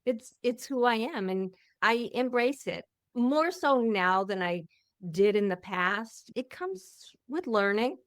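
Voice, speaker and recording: A middle-aged woman hosting a podcast, talking in a candid, reflective way with a loud voice that carries. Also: on the high side, expressive, slow, no room sound, clean studio sound. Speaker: podcast host. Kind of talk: casual interview chat.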